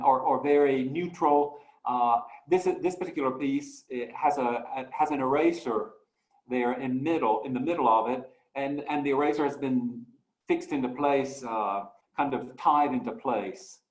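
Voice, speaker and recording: A man presenting his artwork in a talk, speaking slowly and reflectively with many fillers and restarts. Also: mid-range voice, roomy sound, rough recording. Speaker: guest artist.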